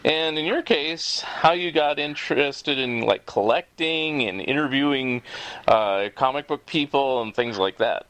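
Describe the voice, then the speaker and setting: Male podcast host, fifties, mid-pitched, very dry recording, announcing and interviewing. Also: a little slow, a bit bright, no echo, rough recording. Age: 50s.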